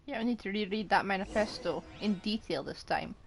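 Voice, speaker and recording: A woman's voice: medium pitch, a little fast, a little hiss or hum. A woman streaming a game, giving casual off-the-cuff commentary as she plays. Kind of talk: casual live gameplay commentary.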